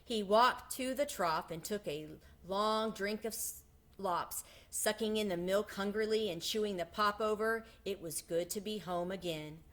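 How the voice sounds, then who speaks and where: A woman reads a children's story aloud with a bright, forceful tone. Her mid-pitched voice carries an expressive storytelling style. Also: a little slow. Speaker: storybook reader.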